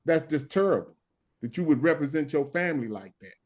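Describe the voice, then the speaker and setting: Halting, tired late-night stream host. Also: male voice, on the high side, lively intonation, darkish tone. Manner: halting, many restarts and "hold on". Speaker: stand-in host of a live stream. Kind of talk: casual live-stream talk.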